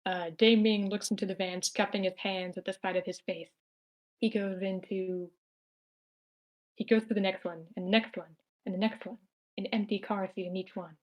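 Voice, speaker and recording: A woman narrates a screenplay's action at an amateur table read. She reads plainly in a medium-pitched voice and now and then stumbles on a word. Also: steady tone, normal speed, low-quality recording. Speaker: stage-direction narrator.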